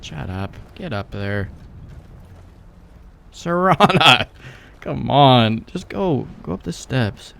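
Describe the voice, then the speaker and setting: A man's voice: medium pitch, big pitch swings, slow, creaky, long pauses, fairly bright tone. A young man giving rambling, laid-back, sweary let's play commentary as he games. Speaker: gaming YouTuber, let's play host.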